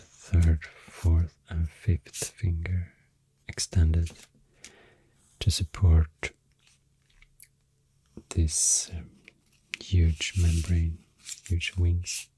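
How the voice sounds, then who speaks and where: Soft whispery swedish guy doing asmr, really dark low voice, rambles along slowly up close. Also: no echo. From Sweden. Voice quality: soft, breathy, often whispery. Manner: unhurried, hesitant, explanatory. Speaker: ASMR creator. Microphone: close microphone. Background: pencil writing and clicking sounds.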